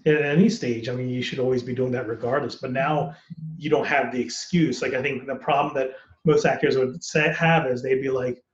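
A man's voice: mid-range voice, steady tone, fairly quick, reverberant, muffled recording. A man, an actor, talking candidly and casually on a podcast, rambling and laughing often. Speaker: actor guest.

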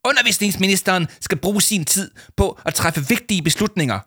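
A man voicing a pompous, furious middle-aged male official who shouts and rants in a shrill voice. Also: sing-song, heavily acted. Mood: raging. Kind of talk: audiobook character voice.

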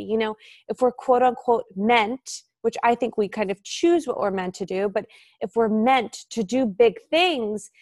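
A woman's voice: on the high side, darkish tone, dry recording, studio quality. A woman in her thirties, an author and interview guest, talking in a casual, reflective way with many fillers. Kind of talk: casual podcast conversation.

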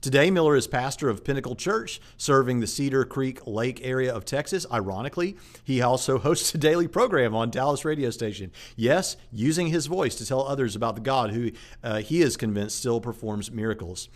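A man's voice: medium pitch, animated, fairly quick, few pauses. A man, a preacher and channel host, talking casually about faith and evidence, with frequent fillers and restarts. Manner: conversational with many fillers and restarts. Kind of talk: casual apologetics talk to camera.

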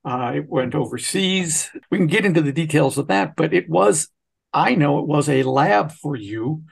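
Higher-pitched man with gravelly edge. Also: lively intonation, normal speed. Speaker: podcast host and interviewer. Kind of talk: conversational interview.

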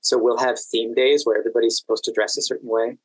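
A man's voice: medium pitch, flat delivery, fast, breathy edge, no echo, rough recording. A man with an American accent talking conversationally as a guest in an interview. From United States. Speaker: podcast guest, actor and event manager.

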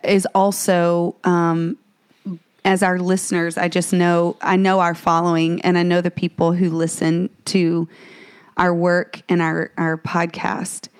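A woman's voice: fairly low pitch, flat delivery, measured pace, clear, resonant tone. A woman co-hosting a faith podcast, speaking earnestly and fervently, slipping into a preachy tone with many restarts. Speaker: podcast co-host.

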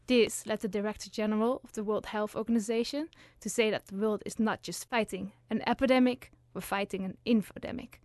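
A woman's voice: mid-range voice, steady tone, fairly quick, few pauses, a little mellow, no room sound, clean studio sound. A young woman in her twenties from the Netherlands hosts a student radio show, reading a scripted intro with small slips. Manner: reads from a script, some hesitations. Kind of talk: scripted intro and casual chat.

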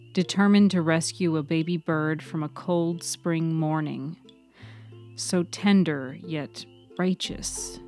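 A woman's voice: fairly low pitch, a little mellow. A woman reading scripted fiction as the narrator of a serial story podcast.

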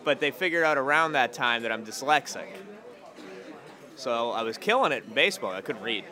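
A man's voice: medium pitch, expressive, a little fast. A man in his late twenties from Massachusetts, a stand-up comedian, chatting casually over breakfast with dry, joking asides. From Massachusetts, USA. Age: 20s. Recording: noisy crowded diner. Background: crowd chatter.

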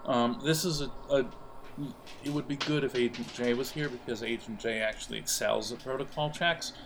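A man narrating an improvised role-playing game as game master, conversational and pausing to think. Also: mid-range voice, steady tone, vocal fry, noisy background.

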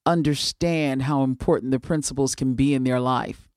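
A woman host with a notably low voice, asking her guests questions in an interview. She follows along attentively with short affirming words. The sound is dry and close. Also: fairly even intonation, normal speed, a little mellow, no room sound.

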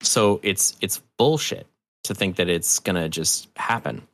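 A man's voice: low-pitched, measured pace, a bit bright. An American man chatting as a podcast guest, telling anecdotes in an emphatic, opinionated way with frequent swearing. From New Hampshire, United States. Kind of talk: casual, opinionated interview chat.

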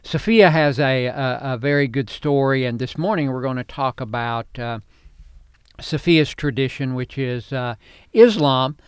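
Clear-voiced male show host. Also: mid-range voice, no room sound, studio quality. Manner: warm, slightly halting, repeats words. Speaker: host, reverend. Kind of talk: interview hosting.

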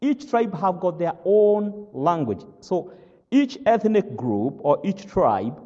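A man from Kenya with a Kenyan accent, whose first language is not English, preaching a sermon as pastor. He speaks slowly and haltingly, fills pauses with "uh" and keeps asking "all right?". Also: high-pitched, big pitch swings, warm tone, in a large hall, muffled recording. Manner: slow, halting with many "uh" fillers, checks "all right?".

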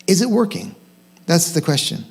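A man's voice: a little high, very animated, moderate pace, creaky, slightly rough. A man speaking as a senior pastor, giving an informal sermon in a conversational way, questioning himself and stressing key points. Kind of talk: informal sermon to own congregation.

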